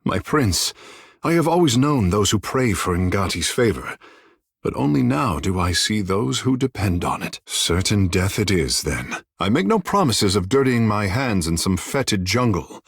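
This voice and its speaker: Proud flamboyant island mage, low voice, sweeping melodic swings, lofty formal phrasing, fantasy game character. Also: male voice, husky, no echo, studio quality. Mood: deferential, then wry, measured. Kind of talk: video game voice acting.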